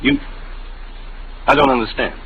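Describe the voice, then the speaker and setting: A man's voice: mid-range voice, fairly even intonation, measured pace, bright, forceful tone, a little hiss or hum, rough recording. A man playing a weary, embittered father and ruined salesman in an old radio drama, sardonic and emphatic. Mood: troubled. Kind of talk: classic radio drama acting.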